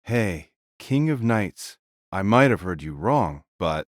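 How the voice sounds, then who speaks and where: Guy acting a bold warrior king, firm n weighty, really varied pitch, close dry audio drama sound. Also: very animated, takes it slowly, dry recording, exaggerated voice. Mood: puzzled. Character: bold, blunt conqueror king spirit, male.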